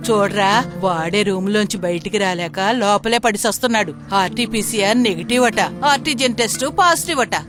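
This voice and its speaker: An actress plays a combative mother in a film drama. She scolds and dismisses people in a rapid stream of words. Her pitch sits in the middle for a woman, and music plays clearly behind her. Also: natural voice. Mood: scolding. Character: brash, combative mother shielding her grown son.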